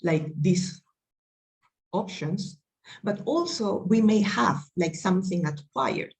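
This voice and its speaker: A woman's voice: mid-range voice, slow pace. Earnest Peruvian-accented woman presenter. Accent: Peruvian Spanish. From Peru. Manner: earnest, searching phrasing with tag questions like "right?". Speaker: workshop presenter. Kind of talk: online diversity training session.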